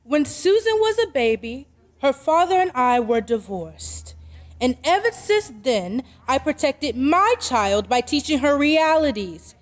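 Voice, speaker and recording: An actress playing a brisk, skeptical working mother in an old-time radio drama, speaking firmly and properly. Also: high-pitched, very animated, big-room sound. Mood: firm, earnest. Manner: firm, deliberate, proper.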